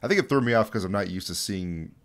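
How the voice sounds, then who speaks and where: A man's voice: fairly low pitch, lively intonation, fast talker. A young man giving casual video commentary in an informal, jokey way. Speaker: YouTube host explaining a horror series. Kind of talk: casual commentary with dramatic read-out passages.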